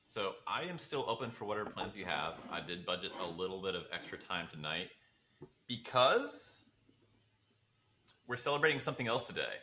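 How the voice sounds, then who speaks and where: A man's voice: low voice, very animated, moderate pace, sharp tone, a little hiss or hum. A man talking casually as a podcast co-host, in an informal conversational style. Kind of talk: casual chat.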